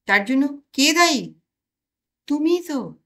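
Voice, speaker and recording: A woman's voice: sits high, very animated, takes it slowly, gravelly, fairly bright tone, pitched above the performer's own voice, full character voice. A woman voicing a stunted, grimy personified conscience from a comic story, accusing, stubborn and self-pitying. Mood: reproachful.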